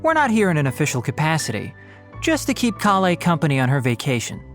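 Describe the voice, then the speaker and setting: A man's voice: a little high, huge pitch swings, quick pace, a bit bright, faint music behind. A man playing a polite, wry young forest watcher and mentor in a video game. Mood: friendly, courteous.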